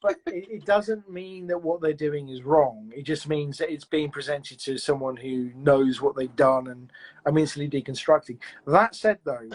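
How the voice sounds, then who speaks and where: A man's voice: a little high, normal speed, rough recording. A man being interviewed about producing audio fiction, talking in a reflective, rambling way with lots of "you know"s and restarts. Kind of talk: casual interview chat.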